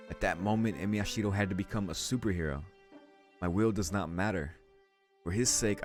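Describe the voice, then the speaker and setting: A man reading visual novel narration aloud dramatically as a let's play host. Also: sits low.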